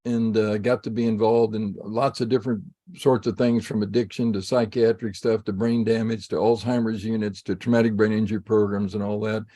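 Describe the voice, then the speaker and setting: A man's voice: lowish voice, flat delivery, a little fast, few pauses, mellow. Older male guest in his seventies, rambling, restarts a lot, heard over a video call. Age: 70s. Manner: rambling, many restarts and fillers. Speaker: psychologist guest. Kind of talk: conversational interview answers.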